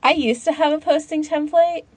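A woman's voice: sits high, moderate pace, dry recording. A woman co-hosting a podcast in casual chat, rambling informally as she thinks aloud. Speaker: podcast co-host.

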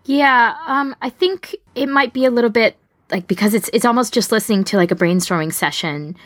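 A woman's voice: fairly high pitch, brisk, no room sound. A woman co-hosting a casual podcast discussion, chatty and explaining her thoughts at length. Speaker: podcast co-host.

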